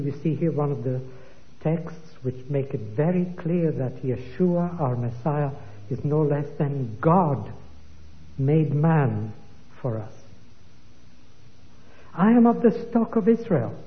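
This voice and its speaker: A man delivers a sermon, slow and emphatic, weaving quoted scripture into his teaching. His voice sits a little high for a man. It comes through thin and phone-like, with a long reverb trailing off in a big hall. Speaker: preacher.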